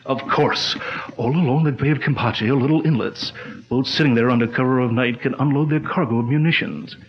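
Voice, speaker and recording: A calm detective chief who lays out clues in a steady, commanding voice, played by a man. The voice sits at an ordinary mid pitch. The sound is dull and lo-fi, like an old radio recording. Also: a little fast, close to an everyday voice. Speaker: lead detective. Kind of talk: 1940s radio drama acting.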